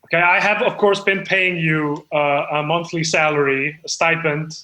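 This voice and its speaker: A man's voice: on the high side, steady tone, normal speed, pushed and bright, poor audio. A man playing an eager, insecure amateur screenwriter in improvised comedy, speaking in an earnest, hesitant, rambling way. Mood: polite grievance. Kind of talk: improvised comedy podcast role-play.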